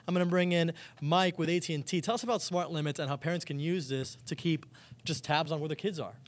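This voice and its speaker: A man's voice: a little high, fast talker. A man working as a TV reporter, presenting a live on-location segment in an enthusiastic, chatty, informal way.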